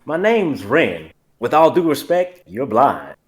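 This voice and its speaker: A man's voice: medium pitch, very animated, slow. A man playing an opinionated, suspicious late-night cashier in a dramatic script reading, with an insistent, gossipy manner. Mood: convinced.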